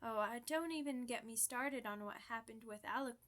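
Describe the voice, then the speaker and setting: A woman's voice: on the high side, moderate pace, fairly bright tone, lightly acted. A woman voicing a chatty, playful, theatrical old vampire in an ASMR roleplay, full of mock-grand asides. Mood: gossipy.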